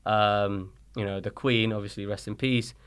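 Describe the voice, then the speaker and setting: A man's voice: lowish voice, normal speed, clear, resonant tone. A man in his thirties from Colombia, raised in London, with a British accent, telling stories as an interview guest and acting out quoted dialogue. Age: 30s. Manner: animated storyteller, acts out quoted dialogue. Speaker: guest, soccer coach. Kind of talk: casual interview chat.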